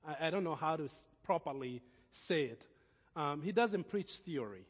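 A man, the host pastor, introducing the guest speaker, speaking haltingly with many restarts. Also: fairly high pitch, expressive, husky. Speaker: pastor hosting the service. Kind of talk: church introduction. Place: church sanctuary. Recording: long reverb of a church hall.

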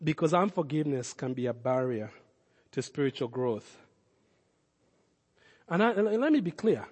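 A man with a Kenyan accent, a pastor giving a sermon, swinging from loud, emphatic calls to low, earnest asides. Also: very animated, normal speed, slightly rough, in a large hall. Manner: impassioned preaching, rising loud then dropping low and intimate.

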